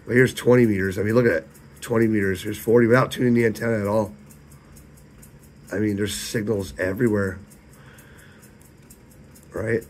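A man's voice: fairly low pitch, fairly even intonation, moderate pace, pauses often. Sick guy with a scratchy voice, casual ham radio vlog, bit of hiss behind. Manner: casual, chatty, rambling. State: hoarse, losing his voice from illness. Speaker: ham radio video host. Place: home radio shack at night.

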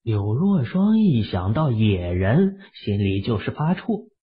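A man with a raspy voice narrating an audio drama in a steady storytelling manner. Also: lowish voice, slow, narrow, tinny audio.

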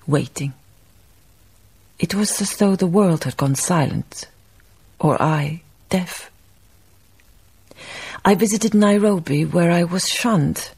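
Sad dignified lady memoirist, radio drama, breathy husky voice, some fry in it. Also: on the low side, a little mellow, heavily acted. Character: grieving woman memoirist, wistful, dignified storyteller. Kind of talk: radio drama acting, reflective narration.

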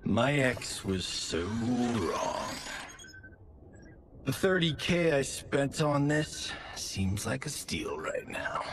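A man's voice: medium pitch, sing-song, very slow, full character voice. A man playing a cocky, flirtatious, wisecracking hero in a video game cutscene, glib and casual in his delivery. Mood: smug, delighted. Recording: clear noisy ambience behind.

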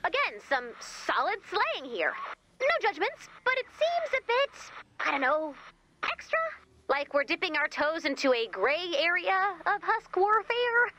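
A woman's voice: very high-pitched, sing-song, a little slow, sharp tone, slight background noise, exaggerated voice. A woman playing a wisecracking, eager, sarcastic female robot helper in a video game, quippy and playful with rambling asides. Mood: teasing. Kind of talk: scripted video game character dialogue.

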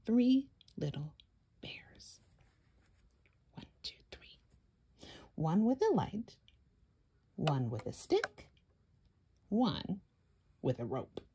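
A woman's voice: mid-range voice, sing-song, drawn-out words, long pauses, warm tone, breathy edge. A woman hosting a children's story time, reading aloud in a dramatic, suspenseful way with whispers and gasps.

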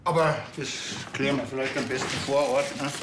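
An actor with a Bavarian accent playing a dry, laconic village policeman with a deadpan manner. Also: mid-range voice, fairly quick, background noise, close to an everyday voice.

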